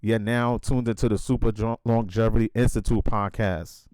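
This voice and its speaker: A man's voice: fairly low pitch, fairly even intonation, moderate pace, a little mellow, smooth, dry recording. A man hosting a podcast, giving a hyped introduction and then asking questions with many fillers and restarts. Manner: emphatic hype intro. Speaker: podcast host. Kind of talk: casual interview chat.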